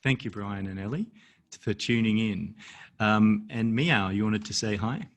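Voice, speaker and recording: Low-voiced man hosting a video call. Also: a little slow. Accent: Australian. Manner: relaxed, hesitant, many ums. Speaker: host of online storytelling event.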